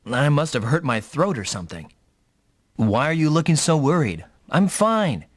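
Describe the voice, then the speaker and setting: A man's voice: a little high, big pitch swings, moderate pace, no room sound, clean studio sound. A man voicing a young, easygoing, teasing mech pilot in an anime-style video game dub. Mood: serious, reassuring. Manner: casual, teasing.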